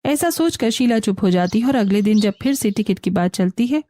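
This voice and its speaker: A woman's voice: mid-range voice, animated, fairly quick, dark tone, no echo, clean studio sound. A woman narrating a scripted family story.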